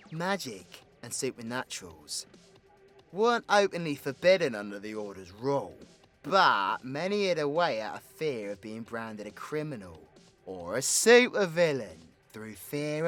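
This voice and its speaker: Male actor as cocky British supervillain, bright forceful voice, cheeky and sweary, theatrical swoops, audio roleplay, music behind. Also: mid-range voice, sing-song, a little slow. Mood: bitter, dramatic. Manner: cheeky, sweary, colloquial, theatrical swings into exclamation. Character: cocky, foul-mouthed, sarcastic British male supervillain telling history. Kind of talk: villain audio roleplay, rambling comedic storytelling.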